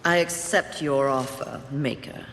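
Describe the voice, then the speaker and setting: A woman's voice: rumbling low, measured pace, a bit bright, full character voice. A woman playing a haughty, scornful female rider of the apocalypse in a video game, sardonic and imperious. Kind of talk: video game cutscene acting. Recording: echoey, reverberant hall, clear background noise.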